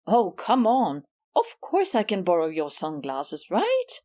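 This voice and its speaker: A woman's voice: mid-range voice, huge pitch swings, slow pace, dark tone, pitched below the performer's own voice, full character voice. A woman playing a smug, vain girl cat. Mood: smug taunting. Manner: teasing, self-satisfied drawl. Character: smug vain female cat, show-off thief.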